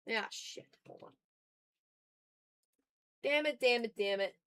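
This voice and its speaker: A woman's voice: a little high, takes it slowly, a bit bright, clean tone. A woman livestreaming as she draws, chatting casually with viewers and muttering to herself as she talks through each step. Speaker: art streamer. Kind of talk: casual livestream chat while drawing.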